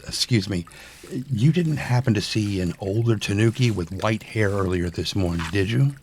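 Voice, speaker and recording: An actor playing a caring, fussing partner and stepdad in an animated comic drama, with a soft, affectionate manner. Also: male voice, fairly low pitch, normal speed, a little hiss or hum, lightly acted. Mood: tentative, gentle. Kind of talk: animated motion-comic voice acting.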